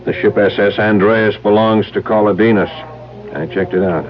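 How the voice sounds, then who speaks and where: A man's voice: lowish voice, fairly even intonation, normal speed, soft background music, lightly acted. An actor playing a knowing, bitter male investigator confronting a woman he loved in a radio drama. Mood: stern, accusing. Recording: muffled old radio broadcast.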